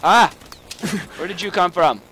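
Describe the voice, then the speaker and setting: A man's voice: high voice, a little slow, bright, forceful tone. A boy gamer chatting casually, often crying out and grumbling when he gets killed. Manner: whiny, exclaims often. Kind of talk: casual gaming chat. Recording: noisy home mic.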